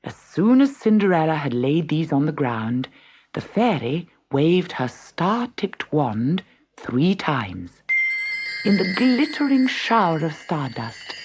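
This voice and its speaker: Woman reading a fairy tale, slow and very expressive, husky, faint music behind. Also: low voice. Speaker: storyteller.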